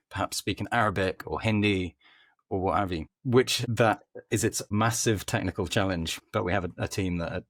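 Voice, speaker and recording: A man speaking as a podcast guest, explaining things informally in conversational answers with hesitant pauses. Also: low voice, fairly bright tone, dry recording, clean studio sound. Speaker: podcast guest, company head of growth.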